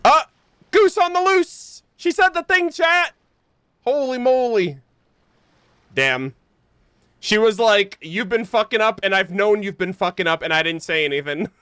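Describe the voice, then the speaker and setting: A woman's voice: mid-range voice, a little slow, pauses often, fairly bright tone, clear, resonant tone, dry recording. A young woman giving casual, exclamatory and slangy live commentary as a game streamer on a close mic. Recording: game music underneath.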